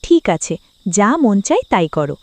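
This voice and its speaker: A woman's voice: mid-range voice, big pitch swings, measured pace, a little mellow, no echo. A woman playing a practical, caring village wife and mother in a cartoon, chiding yet consoling. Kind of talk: cartoon dialogue and story narration.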